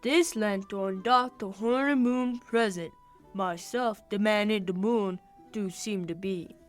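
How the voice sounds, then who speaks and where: A young woman playing a plodding, exasperated man in the moon in a radio play of Shakespeare, reciting deliberately. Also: mid-range voice, faint music behind, natural voice.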